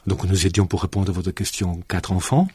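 A man's voice: deep voice, flat delivery, brisk, warm tone, husky, no echo, clean studio sound. A man from southern France with a southern French accent, a guest speaking reflectively in a radio interview and adding frequent "hein" and "absolument". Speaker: guest, student centre director.

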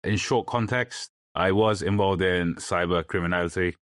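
Very deep-voiced man. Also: moderate pace, fairly bright tone, no echo, studio quality. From London, England. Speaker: podcast guest. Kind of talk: interview answers, personal account.

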